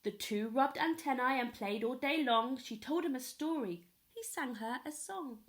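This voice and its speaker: A woman reading a children's story aloud in an expressive storytelling style. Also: sits high. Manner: pauses to ask young listeners questions. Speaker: storyteller at a primary school.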